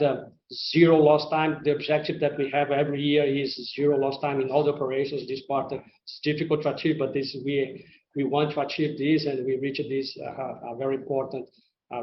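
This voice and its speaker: A man who speaks English as a second language, presenting company results to investors as an executive, in a halting, hesitant way with repeated words. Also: mid-range voice. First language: not English. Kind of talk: semi-scripted earnings call presentation to investors. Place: conference call line in a roomy space. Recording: roomy, muffled conference-call audio with faint noise.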